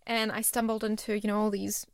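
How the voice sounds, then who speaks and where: A woman's voice: mid-range voice, flat delivery, brisk, no echo, clean studio sound. A woman in her thirties, raised in Singapore, chatting casually as a guest in an interview and often laughing at herself. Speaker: startup founder, guest.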